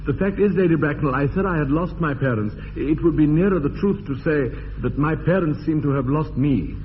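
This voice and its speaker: An actor playing an earnest, slightly nervous English gentleman in a radio comedy, with an upper-class accent and a polite, hesitant manner. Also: a little high, brisk, natural voice. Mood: hesitant, apologetic. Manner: courteous, hesitant, apologetic. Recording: dull lo-fi radio recording, faint noise.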